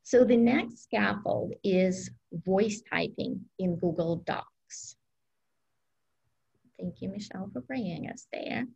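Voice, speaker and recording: A woman's voice: mid-range voice, slow, gravelly, a little mellow, husky, poor audio. A woman whose first language is Russian, presenting an educational webinar over a video call in an upbeat, explanatory way with frequent ums.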